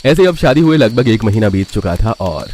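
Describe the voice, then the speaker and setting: A man's voice: on the low side, big pitch swings, a little fast, darkish tone, slight background noise. A man narrating a scripted cartoon family story.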